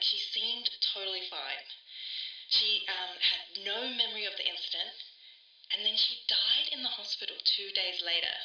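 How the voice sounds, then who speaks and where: Actress doing uneasy woman witness, really bright sharp voice, echoey and off mic, informal telling of creepy stuff. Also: mid-range voice, far from the mic, muffled recording. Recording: echoey room, away from the mic.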